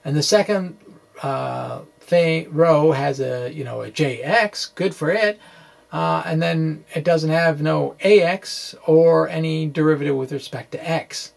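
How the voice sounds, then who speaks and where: A middle-aged man informally explaining physics as he reads his own blog aloud, halting, wry and self-deprecating. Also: a little high, creaky. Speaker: physics blogger and presenter. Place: small room with bookshelves, indoors. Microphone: microphone on stand in front of him.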